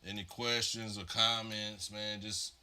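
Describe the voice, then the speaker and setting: A man's voice: on the low side, level tone, a little slow, pushed and bright, smooth. A man from Virginia chatting casually on a live stream, rambling and slightly congested from a cold. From Virginia, USA. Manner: laid-back, rambling, many fillers and "man". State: congested, getting over a cold. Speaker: livestream host building modular synth patches.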